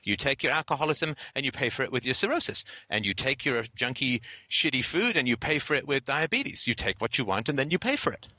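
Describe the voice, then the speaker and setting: Male call-in host, blunt and lecturing, bright forceful voice, dry close mic. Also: medium pitch, big pitch swings, a little fast, few pauses, dry recording. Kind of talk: blunt philosophical call-in talk.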